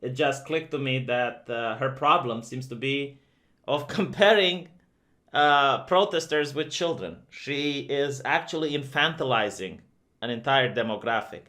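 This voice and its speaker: Guy ranting on his channel, bright sharp voice, lots of uhs and ums. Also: medium pitch, a little slow. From Romania. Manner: conversational and opinionated, many fillers. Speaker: video commentator. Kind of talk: opinionated commentary vlog.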